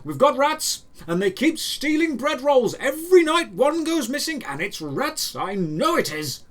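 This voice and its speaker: A man's voice: very high-pitched, big pitch swings, measured pace, a bit bright, voice pushed up, exaggerated voice. A man voicing a frantic castle cook in a fantasy audio drama, agitated and insistent as he exclaims. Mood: frantic, exasperated. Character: frantic castle cook obsessed with thieving rats.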